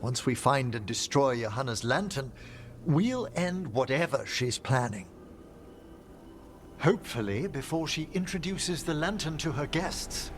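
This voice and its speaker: An actor playing a refined, scholarly older necromancer in a video game, eloquent and formal, courteous but anxious. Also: male voice, mid-range voice, lively intonation, measured pace, slight background noise, close to an everyday voice. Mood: eager, purposeful. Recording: music behind the voice.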